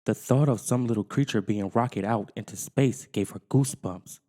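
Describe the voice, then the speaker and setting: A man narrating a children's storybook in a theatrical, deliberate way. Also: lowish voice, mellow. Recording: long reverb, music underneath. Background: music.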